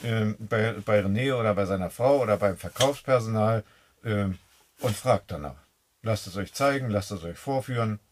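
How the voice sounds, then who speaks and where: A man co-hosting a camping vlog, chatting in a relaxed way from inside a camper van. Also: lowish voice, slight background noise. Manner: relaxed, talks in drawn-out asides with tag questions. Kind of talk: casual chat.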